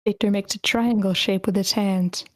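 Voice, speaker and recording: A woman reads screenplay action lines in a measured, level voice with very little rise and fall. Her sound is dry and close, and she now and then trips on a word during the table read. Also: medium pitch, steady tone, darkish tone, no echo. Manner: measured, slightly stumbling reading. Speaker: stage directions reader. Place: online meeting.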